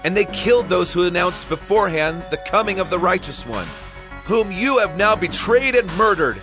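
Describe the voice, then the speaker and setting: An actor playing a fervent, defiant preacher and martyr in a dramatized scripture reading, declamatory and impassioned. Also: male voice, high voice, expressive, normal speed, over music. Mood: indignant accusing.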